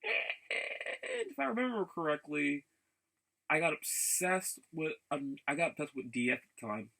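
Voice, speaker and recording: A man rambling casually as a vlogger, enthusiastic about his hobbies, often halting, repeating words and backtracking. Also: medium pitch, vocal fry, sharp tone, rough recording. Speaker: vlogger showing game and figure pickups. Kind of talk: casual rambling vlog chat.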